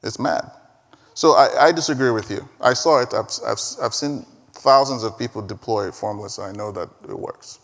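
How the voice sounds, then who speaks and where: A man whose first language is Igbo, giving an energetic, emphatic conference talk and taking questions, often repeating words as he pushes a point. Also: lowish voice, normal speed. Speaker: conference speaker. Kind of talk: live keynote talk and Q&A. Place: large conference hall. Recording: reverberant hall.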